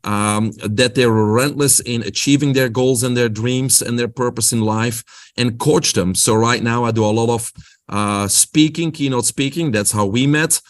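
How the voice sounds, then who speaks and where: A man's voice: mid-range voice, moderate pace. A man with a Belgian accent, a guest on a podcast interview, explaining his health coaching with an upbeat motivational-speaker delivery.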